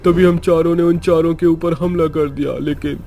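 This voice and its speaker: A man voicing a bitter, determined ghost child in a horror story, forceful and dramatic. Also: mid-range voice, steady tone, moderate pace, gravelly, voice pushed up, close to an everyday voice.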